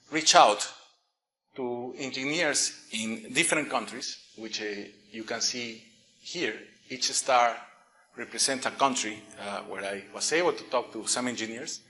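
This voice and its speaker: A man's voice: medium pitch, sharp tone, poor audio. A middle-aged man from Peru giving a conference presentation on engineering, speaking with frequent fillers and restarts. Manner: halting, many fillers and restarts; light joking aside. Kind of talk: conference talk.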